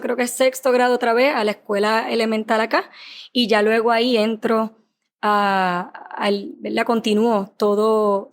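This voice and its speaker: A woman from Puerto Rico with a Puerto Rican accent, a clinical psychologist telling her story as a podcast guest in a measured, thoughtful way. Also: on the high side. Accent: Puerto Rican Spanish. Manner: measured, thoughtful, with small fillers. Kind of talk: podcast interview, personal storytelling.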